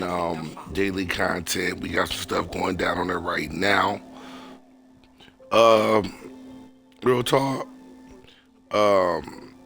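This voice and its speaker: A man with a hoarse voice chatting casually and in slang as he hosts a gaming stream. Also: low voice, fairly bright tone. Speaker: streamer, gaming channel host. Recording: music behind the voice. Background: music.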